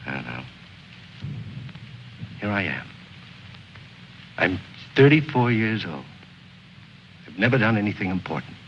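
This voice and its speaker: A man's voice: on the low side, sing-song, unhurried, pauses often. An actor playing a bitter, restless soldier in his thirties, brooding and sardonic, in an old film drama. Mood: bitter, driven. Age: 30s. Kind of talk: mid-century American film drama acting. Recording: muffled, hissy old film soundtrack.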